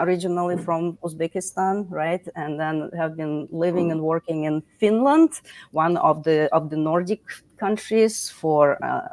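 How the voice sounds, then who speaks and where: A woman hosts a live online talk in a lowish voice. The sound is dry and close. She chats along with many fillers and "you know"s. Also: a little mellow, no echo. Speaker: livestream host. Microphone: video call.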